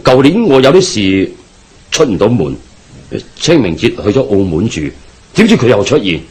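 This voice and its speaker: A man's voice: mid-range voice, sing-song, moderate pace, darkish tone, breathy edge. A man with a Hong Kong Cantonese accent playing an anxious, deferential businessman haunted by a ghost in a radio drama, hesitant and pleading in delivery. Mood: agitated.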